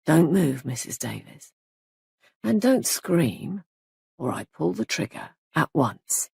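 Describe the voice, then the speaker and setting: A mad young killer whose voice turns childlike, menacing and giddy by turns, as a woman reads him. The tone is gravelly and husky, close and dry. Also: low voice, sing-song, takes it slowly, darkish tone, no room sound, exaggerated voice.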